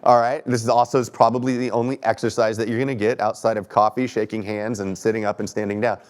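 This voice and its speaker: A man's voice: fairly low pitch, level tone, quick pace. Texan man, 30s, keynote speaker, a bit stuffy, joking, chatty, asks the crowd things. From Texas. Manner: conversational, self-deprecating, asks audience questions. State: stuffy from allergies. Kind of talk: conference talk. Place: stage in a lecture hall.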